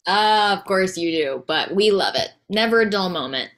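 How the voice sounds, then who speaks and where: A woman's voice: medium pitch, expressive, normal speed, fairly bright tone, lightly acted. A woman playing a wry, candid wife and mother in a sitcom table read, dry and teasing.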